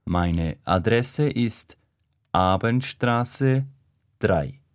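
A man giving measured, deliberate answers in a scripted language-course dialogue. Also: lowish voice, expressive, no room sound, studio quality.

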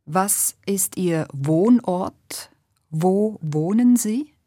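A woman asking clear, patient questions in a slow scripted language-course dialogue. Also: mid-range voice, expressive, warm tone, no room sound, clean studio sound.

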